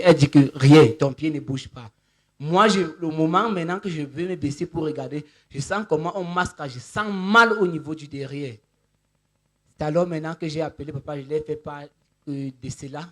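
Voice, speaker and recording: A man with a fairly high voice gives a personal testimony in church. He speaks spontaneously, telling things step by step and often repeating his linking words. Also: a bit bright. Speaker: church member giving testimony.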